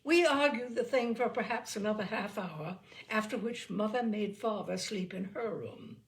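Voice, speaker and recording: A woman's voice: mid-range voice, normal speed. A woman reading a humorous short story aloud as narrator, with theatrical, emphatic phrasing.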